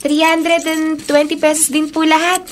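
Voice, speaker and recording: A woman's voice: high-pitched, flat delivery, normal speed, slight background noise, natural voice. An actress playing a dutiful young daughter in a radio drama, eager and respectful in her way of talking.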